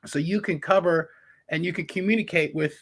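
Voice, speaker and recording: A man's voice: on the high side, a little fast. A man with a casual, chatty style, acting as an interviewer. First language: English.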